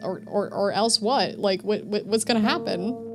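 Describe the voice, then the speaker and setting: A woman streams a horror game and comments on it casually as she plays. She tends to stumble and repeat phrases when something startles her. Music plays clearly behind her voice. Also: mid-range voice, moderate pace. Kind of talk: casual game commentary mixed with reading game text aloud.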